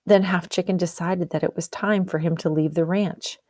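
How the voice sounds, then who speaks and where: A woman's voice: on the low side, steady tone, normal speed, warm tone, dry recording, studio quality. A woman teacher reading a storybook aloud expressively, explaining and asking questions as if to young pupils. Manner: explanatory, questioning, checks understanding.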